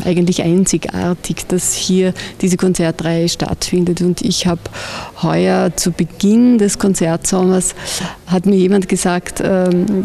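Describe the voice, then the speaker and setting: A woman's voice: fairly low pitch, mellow. A middle-aged woman, a festival director, speaking matter-of-factly in an interview inside a church into a handheld mic. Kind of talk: interview answer. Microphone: handheld reporter mic.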